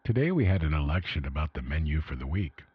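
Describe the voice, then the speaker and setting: A man acts out a weary male survivor of an underground vault reading his journal in a radio drama. The voice is low and very husky, despairing and emphatic in its complaints. An old AM radio filter dulls it. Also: sing-song, fast, no echo, heavily acted. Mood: exasperated. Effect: retro AM radio filter. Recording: dull retro AM radio sound.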